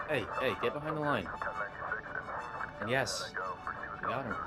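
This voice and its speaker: A man playing a jaded, intuitive police detective in a comic drama, with a husky voice and a wry manner. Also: medium pitch, expressive, unhurried, loud music behind the voice, natural voice. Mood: firm. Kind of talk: naturalistic comic adaptation acting.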